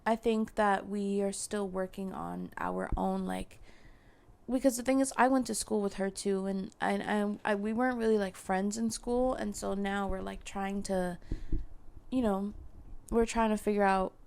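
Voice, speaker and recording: A young woman with a hoarse, failing voice, talking casually to her viewers with lots of fillers and restarts. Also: mid-range voice, normal speed, a little mellow. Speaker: content creator. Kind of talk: casual chat to camera.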